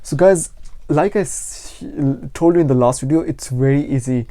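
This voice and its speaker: A man's voice: a little high, normal speed, warm tone, no room sound. A man with a Pakistani accent teaching an informal language lesson, explaining and repeating phrases for learners. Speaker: language teacher and channel host.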